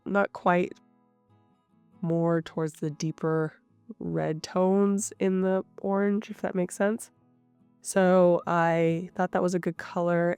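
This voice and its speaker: A woman with a cold, sounding nasal and a little rough, calmly narrating her craft session as the host of her channel. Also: lowish voice, no room sound. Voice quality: slightly rough. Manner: calm, explanatory, thinking aloud. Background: soft guitar music.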